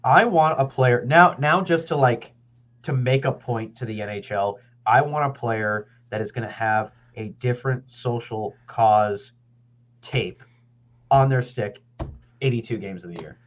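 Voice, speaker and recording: A man's voice: medium pitch, unhurried. A man co-hosting a podcast in casual chat, joking and swearing. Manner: animated, joking, profane. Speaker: podcast co-host. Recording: dull lo-fi sound.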